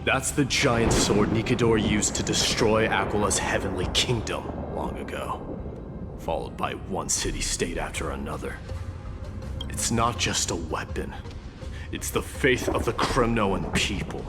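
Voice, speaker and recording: An actor playing a proud, gruff warrior prince in a video game, speaking in a solemn, haughty, terse way. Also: male voice, fairly low pitch, a little slow, over music, natural voice. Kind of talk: scripted video game voice acting.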